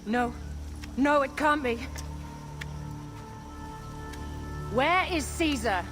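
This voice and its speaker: A woman's voice: sits high, expressive, measured pace, over music. An actress playing a proud, defiant exiled young queen in a video game, commanding and theatrical. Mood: desperate, determined. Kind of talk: video game character acting.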